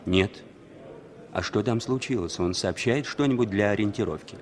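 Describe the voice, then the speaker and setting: A man's voice: fairly low pitch, normal speed, natural voice. An actor playing a polite, guarded male foreign spy in a 1960s radio play, terse and questioning. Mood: businesslike. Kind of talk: 1960s radio drama acting.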